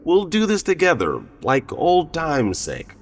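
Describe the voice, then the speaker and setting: Smug, theatrical gang leader in echoing hall. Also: male voice, fairly high pitch, huge pitch swings, measured pace, full character voice. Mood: suave bargaining. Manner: suave, theatrical, taunting. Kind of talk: audio drama acting. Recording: long hall reverb, music behind.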